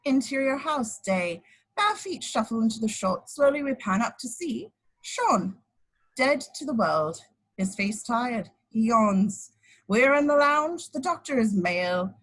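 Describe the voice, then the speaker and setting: Husky, lively woman narrator, higher-pitched. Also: unhurried, low-quality recording. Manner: stumbles over words and corrects herself. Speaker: narrator of stage directions. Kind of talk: scripted narration at an informal table read.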